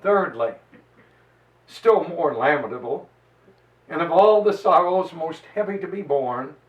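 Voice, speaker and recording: A man giving a solemn, declamatory dramatized reading of an old historical text, with emphatic pauses. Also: a little high, animated, highs cut off. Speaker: preacher reading a history aloud. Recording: echoey room, far from the mic.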